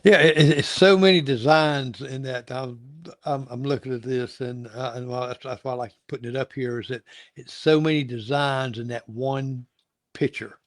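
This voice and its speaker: A man hosting a casual interview, asking questions in a halting way and repeating his words. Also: mid-range voice, steady tone, no echo. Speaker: interview show host.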